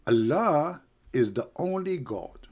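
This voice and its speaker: A man's voice: fairly high pitch, animated, slow pace, darkish tone, husky. A man whose first language is Arabic, hosting a livestream with sarcastic, mocking, rambling commentary. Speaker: livestream host.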